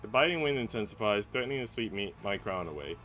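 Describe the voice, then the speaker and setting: A man reading a visual novel aloud as a streamer, in an expressive, dramatic narration that drops hushed and rises with emphasis. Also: lowish voice, quick pace. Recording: faint game music behind. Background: game music.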